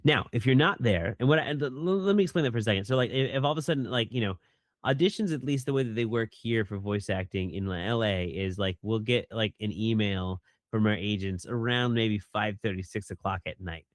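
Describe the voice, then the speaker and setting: A man, a voice actor, chatting casually in an interview and talking animatedly, often jumping into a higher voice when he quotes people. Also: a little fast, dry recording, studio quality. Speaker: voice actor guest.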